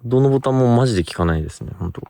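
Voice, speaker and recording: A man's voice: medium pitch, expressive, racing, gravelly, warm tone, slight background noise. A man co-hosting a paranormal investigation outdoors at night, chatting casually but politely, full of questions and exclamations. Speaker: paranormal video co-host. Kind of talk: casual reactive chat, junior-style polite speech.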